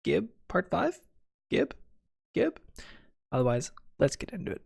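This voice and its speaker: A man's voice: high-pitched, wildly swooping pitch, unhurried, gravelly, husky. A man doing casual gameplay commentary as a streamer, swearing and muttering to himself in short fragments. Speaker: video game streamer.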